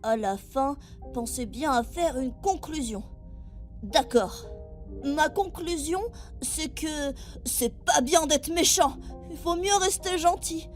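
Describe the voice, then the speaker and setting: A small, high-pitched child on an internship aboard a spaceship. The delivery is earnest and guileless, as acted in a sci-fi audio drama, with soft music underneath. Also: female voice, takes it slowly. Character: seven-year-old child trainee aboard a spaceship, earnest and innocent. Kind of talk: French audio drama acting. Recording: music behind.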